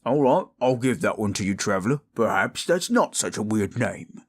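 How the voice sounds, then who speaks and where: Guy doing a gruff orc adventurer for an audio drama, lowish voice, weary, grumbly, kinda soft hearted. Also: animated, normal speed. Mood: grumbling. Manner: blunt, colloquial, grumbling. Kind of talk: fan audio drama acting.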